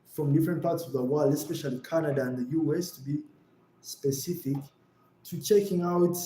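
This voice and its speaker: A man's voice: fairly high pitch, mellow, roomy sound, a little hiss or hum, low-quality recording. A young man from Uganda talking on a livestream, earnest and reflective, often repeating his phrases. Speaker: live streamer and creator. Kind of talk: livestream talk.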